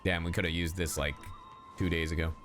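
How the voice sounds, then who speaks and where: A woman's voice: extremely low pitch, flat delivery, brisk. A woman streaming a game with casual, playful, goofy commentary full of exclamations. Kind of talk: casual live gameplay commentary, reading dialogue aloud. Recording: game music behind voice.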